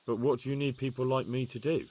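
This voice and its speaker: A determined young medieval soldier in a game cutscene. His voice sits at a middle pitch with a slightly husky grain, and he speaks plainly and respectfully, as if to his lords. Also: steady tone, quick pace, warm tone. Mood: questioning. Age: young adult. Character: earnest, determined young man-at-arms in medieval lands. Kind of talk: video game cutscene acting.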